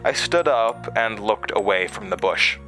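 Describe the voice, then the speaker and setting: An actor playing a haunted man recounting a terrifying memory in a horror audio drama, halting and hesitant. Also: on the low side, animated, pushed and bright, faint music behind. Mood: haunted, tense. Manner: halting, hesitant, with stammered restarts.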